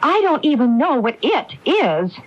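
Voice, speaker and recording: A woman's voice: high voice, very animated, a little slow, a little hiss or hum. A woman playing an earnest, worried wife who questions insistently in a radio drama. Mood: alarmed. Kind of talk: 1980s radio drama acting. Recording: dull AM radio broadcast recording.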